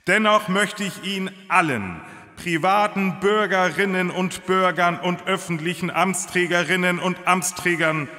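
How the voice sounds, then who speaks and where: An actor playing a grave government minister giving a solemn, measured official address in a radio drama. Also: male voice, sits high, sharp tone. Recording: long hall-like reverb.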